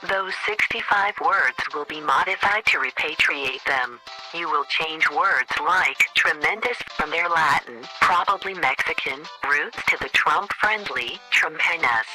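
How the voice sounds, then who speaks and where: A very bright, forceful, slightly high actor's officious government handler delivers comic radio orders. He is formal and deadpan, a stiff bureaucrat reading a satirical script, with faint music behind him. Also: low-quality recording. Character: officious government handler leaving absurd sleeper cell orders.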